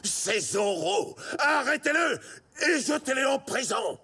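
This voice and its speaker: A man's voice: very high voice, sing-song, takes it slowly, sharp tone, husky, shouting, exaggerated voice. A man voicing a pompous, short-tempered villainous army commander in a French cartoon dub, haughty and theatrical. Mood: barking orders. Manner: haughty, theatrical, barking orders. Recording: music bed under dialogue.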